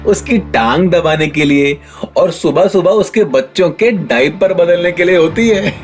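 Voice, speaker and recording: A man's voice: high voice, measured pace, faint music behind. A man playing an arrogant, taunting young soldier, the bullying son of an officer, in an audio drama. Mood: mocking. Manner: mocking, taunting.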